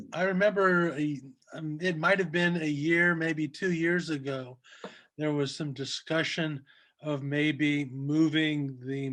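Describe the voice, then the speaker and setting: A man's voice: medium pitch, a bit bright. Man chiming in on a video call. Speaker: club member in a meeting. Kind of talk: casual meeting discussion.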